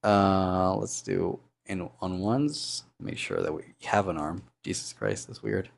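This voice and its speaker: Male streamer, gravelly low baritone, sore throat, rambling thinking-aloud chat while animating. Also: takes it slowly. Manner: rambling, thinks aloud, hums and mutters sound effects. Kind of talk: casual live-stream chat while working.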